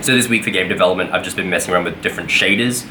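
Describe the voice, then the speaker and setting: A man's voice: low-pitched, racing, background noise. A young man giving a casual weekly vlog update, rambling with lots of fillers and self-corrections. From Australia. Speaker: vlogger.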